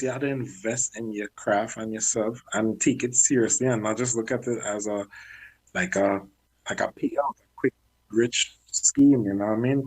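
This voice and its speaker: A man working as a music manager, chatting candidly in an interview and often clearing his throat. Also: mid-range voice, rough recording. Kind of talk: casual interview talk.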